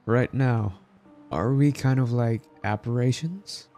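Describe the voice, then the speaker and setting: A man's voice: medium pitch, takes it slowly, warm tone. A man from the Philippines giving casual gameplay commentary as a streamer. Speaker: game streamer. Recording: faint game music behind.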